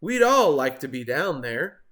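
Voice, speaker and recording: Storybook sheep, read by a man: helpful, plain-spoken, slightly high, animated. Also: unhurried. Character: helpful, matter-of-fact sheep in a children's storybook.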